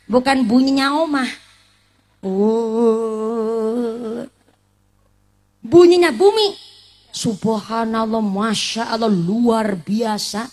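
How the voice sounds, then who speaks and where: A woman in her late twenties giving a humorous sermon, teasing the congregation with questions and mixing in regional words. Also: fairly high pitch. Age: late 20s. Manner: lively, teasing, asks the audience questions, mixes in Javanese and Sundanese words. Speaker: preacher.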